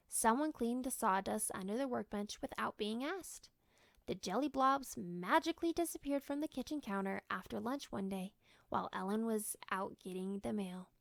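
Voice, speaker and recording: Lady reading kids story out loud, kinda high voice w some fry, very expressive storyteller. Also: dry recording, studio quality. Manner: animated, acts out character lines. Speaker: storybook reader. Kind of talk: expressive children's read-aloud narration.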